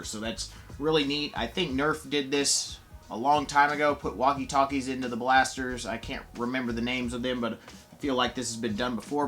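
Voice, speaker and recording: A man's voice: mid-range voice, fairly bright tone. Casual man reviewing toys, music behind. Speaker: toy blaster reviewer. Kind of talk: casual product review to camera.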